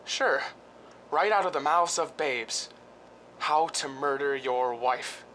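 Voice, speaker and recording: An actor playing a restless, scheming husband of a film star in a radio drama, hesitant and conspiratorial. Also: male voice, fairly high pitch, slow, pushed and bright, slight background noise, poor audio. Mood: excited, scheming.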